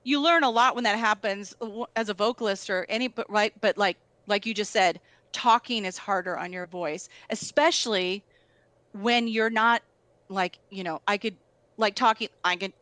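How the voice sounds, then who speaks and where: A middle-aged woman at a mid pitch, recorded close and dry. She tells her stories in a loose, filler-heavy way, with "like" in nearly every phrase. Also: moderate pace, a bit bright, dry recording. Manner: storytelling, filler-heavy, lots of "like". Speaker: guest musician. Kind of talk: casual conversation.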